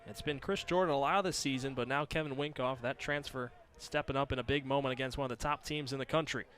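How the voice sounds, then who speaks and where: A man's voice: mid-range voice, fairly even intonation, quick pace, fairly bright tone, a little hiss or hum. A man calling live sports as a play-by-play announcer on a broadcast.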